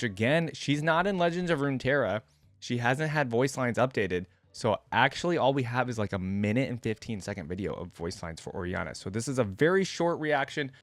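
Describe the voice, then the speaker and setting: A man's voice: mid-range voice, no echo. A man hosting a reaction video, giving casual, musing commentary and addressing viewers directly. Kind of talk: casual commentary, partly reading a wiki aloud.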